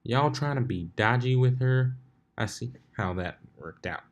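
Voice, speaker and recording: A man's voice: mid-range voice, animated, measured pace. A folksy farm mare from a storybook, played by a man. She is plain-spoken and kindly, with rural turns of phrase and a down-to-earth, reassuring way about her. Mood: skeptical.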